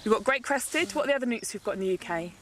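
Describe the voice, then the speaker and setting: A woman's voice: on the high side, lively intonation, very fast, crowd noise. A woman teaching casually, asking learners questions and confirming their answers. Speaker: instructor quizzing learners.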